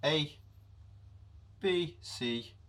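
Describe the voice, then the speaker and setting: A man with a deep voice giving an unscripted music theory lesson, with many pauses and fillers. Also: fairly bright tone. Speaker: music teacher.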